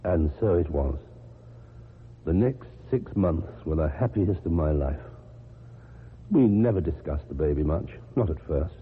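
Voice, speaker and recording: An earnest, tender man in love in a radio drama. His pitch is low and moves freely, with some hesitancy. The recording is thin and phone-like, with noise on it. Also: expressive, measured pace, exaggerated voice. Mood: reflective. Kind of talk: radio drama acting and first-person narration. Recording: muffled narrow-band old radio recording, clear noise.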